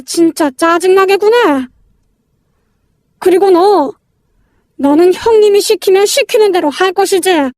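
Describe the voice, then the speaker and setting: Thuggish older brother read by a woman, high-pitched, domineering, coarse and menacing, close dry sound, story drama. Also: dry recording. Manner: threatening, coarse, blunt.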